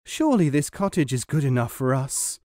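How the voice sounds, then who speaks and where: Man as timid fisherman, meek, apologetic, lively lilt, dry room. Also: mid-range voice, moderate pace, no echo, lightly acted. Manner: hesitant, apologetic. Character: meek, timid old fisherman, easily bullied.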